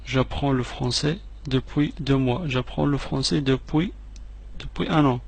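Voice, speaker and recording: A man teaching French phrases, repeating each one slowly and clearly, with quick asides in between. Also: medium pitch, a little mellow. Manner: slow, clearly separated repetitions, quick asides in another language. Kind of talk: repeat-after-me language drill.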